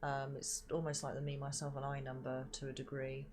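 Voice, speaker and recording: A woman's voice: very deep voice, monotone, fairly quick, a little mellow. A woman giving a rambling spiritual reading with many fillers and casual swearing, sounding stuffy and sniffly from hay fever. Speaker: spiritual reader.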